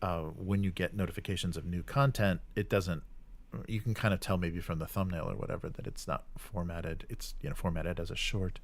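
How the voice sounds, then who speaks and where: A man's voice: sits low, fairly even intonation, fairly quick, gravelly. A man hosting a live stream in casual chat, rambling hesitantly and often trailing off mid-sentence.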